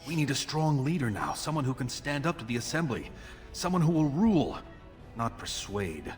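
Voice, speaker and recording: An actor playing an ambitious dwarf prince in a video game, speaking formally and with resolute authority. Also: male voice, mid-range voice, big pitch swings, normal speed, soft background music, natural voice. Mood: resolute, urgent. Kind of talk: video game character dialogue.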